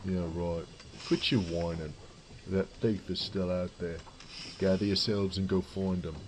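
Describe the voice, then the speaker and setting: A man's voice: low-pitched, fairly even intonation, a little slow, a little hiss or hum. A man voicing a no-nonsense guard corporal in an audio drama, stern, curt and commanding.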